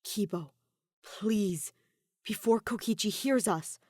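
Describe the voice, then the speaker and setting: A woman voicing an anxious, evasive teenage boy in an audio story, earnest and hurried in his reassurances. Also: medium pitch, darkish tone, no room sound, studio quality. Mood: worried pleading.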